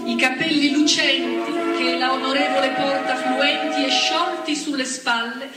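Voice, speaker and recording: A woman narrating in a slow, lilting, theatrical way, recorded from far off on an echoey stage with loud music behind. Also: sits high, steady tone.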